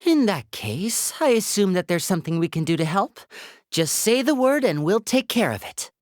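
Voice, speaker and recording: A woman's voice: medium pitch, very animated, moderate pace, darkish tone, no echo, clean studio sound, lightly acted. A woman playing a suave, polite young male stage magician in a video game, with a courteous, theatrical, self-assured manner.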